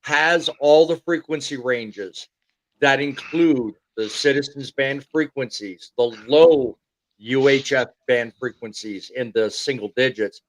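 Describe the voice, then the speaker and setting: Man, show host, mid pitch, dry sound, casual technical explaining, lots of quick agreement. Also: no echo, low-quality recording. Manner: explains technical points at length, frequent "yeah". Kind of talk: casual call-in chat.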